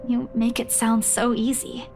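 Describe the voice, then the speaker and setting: Fairly high-voiced troubled young woman. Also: flat delivery, a little slow, creaky, a little mellow, faint music behind. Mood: weary, defensive. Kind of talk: visual novel voice acting.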